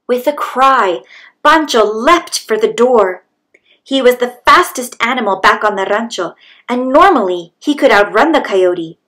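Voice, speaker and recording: A woman's voice: a little high, lively intonation, measured pace, bright, forceful tone. A woman reading a children's story aloud as a storybook narrator. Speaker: storybook reader.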